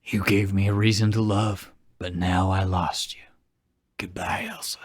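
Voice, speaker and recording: A man's voice: low voice, little pitch movement, unhurried, no room sound, clean studio sound. A man voicing a brooding, awkward, forlorn male hero from fan fiction in an earnest, mopey manner. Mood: forlorn, hushed.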